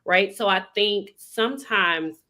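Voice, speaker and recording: A woman's voice: mid-range voice, slow pace, pushed and bright. A woman who was a school counselor, explaining her point earnestly and emphatically in a group discussion.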